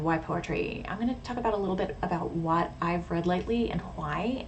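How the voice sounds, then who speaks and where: A woman talking casually and reflectively to viewers about her hobbies, thoughtful and given to correcting herself and adding asides. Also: lowish voice. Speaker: video blogger. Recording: clear background noise.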